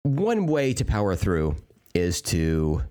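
A man with a hoarse voice co-hosting a podcast, rambling and joking with lots of fillers and restarts. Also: low voice, wildly swooping pitch, slow pace, dark tone. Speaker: podcast co-host. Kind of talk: casual chat. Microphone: remote call mic.

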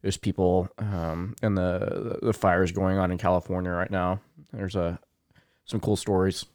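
Low-voiced man rambling casually. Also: level tone, a little slow, a little mellow, no echo, studio quality. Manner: rambling, lots of fillers and self-corrections. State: sniffling. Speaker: podcast co-host. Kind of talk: casual chat.

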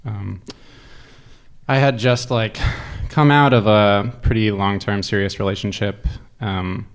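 A man telling personal stories as a guest, casual and conversational, in a hesitant, halting way. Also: on the low side, steady tone, clear, resonant tone. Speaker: storytelling guest on an improv show.

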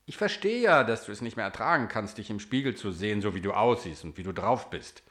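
Low-ish, bouncy, needling mirror twin, played by a male storyteller. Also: expressive, fairly quick, a bit bright. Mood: lecturing. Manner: teasing, coaxing, then spiteful. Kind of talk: storybook reading.

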